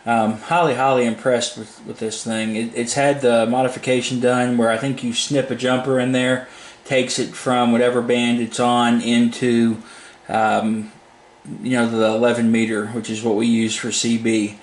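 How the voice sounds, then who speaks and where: A man's voice: mid-range voice, little pitch movement, normal speed. A man giving a casual, unscripted product demo in a plain-spoken, informal, rambling way. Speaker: hobbyist product reviewer. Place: indoors at a home desk. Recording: echoey room, faint background noise.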